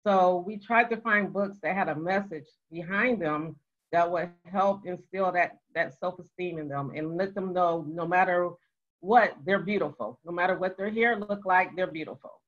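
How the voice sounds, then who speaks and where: A woman's voice: lowish voice, normal speed. An older woman co-hosting, explaining things to a group in a casual, conversational way with emphatic repetition. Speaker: co-host. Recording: dull, lo-fi online call audio.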